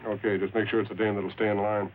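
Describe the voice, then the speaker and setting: A man's voice: on the low side, little pitch movement, fast talker, lightly acted. An actor playing a tough, curt, wisecracking gangster henchman in a 1940s film noir style. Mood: skeptical. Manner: curt, hard-boiled. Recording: tinny, hissy old film soundtrack.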